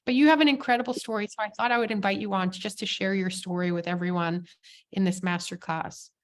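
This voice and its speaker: A woman's voice: mid-range voice, fairly even intonation, fast talker. A woman hosting a business masterclass on a video call, chatty and enthusiastic as she introduces a guest. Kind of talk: webinar hosting.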